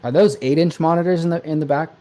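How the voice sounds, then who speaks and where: A man's voice: on the high side, fast talker, dark tone. A man in his twenties, a guest producer, answering interview questions in a chatty, rambling way with many fillers, heard over a video call. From born in Denmark, raised in the United States. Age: 20s.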